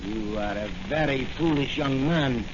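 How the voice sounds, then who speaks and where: A man's voice: medium pitch, measured pace, lightly acted. A man playing an oily, scheming foreign spy captain in a 1940s radio serial, with stilted, formally polite phrasing. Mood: oily, bargaining. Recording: noisy, muffled 1940s radio recording.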